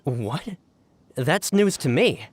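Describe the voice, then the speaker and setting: An actor playing an excitable, emphatic young male informant in a video game, an exasperated and eager underling. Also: on the high side, slow pace, slight background noise. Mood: flustered, insistent.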